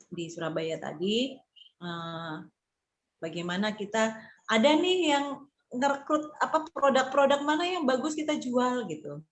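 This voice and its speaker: Hesitant woman consultant thinking aloud. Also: mid-range voice. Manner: hesitant, many fillers and restarts; informal, conversational explaining. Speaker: marketing consultant. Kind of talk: casual group discussion.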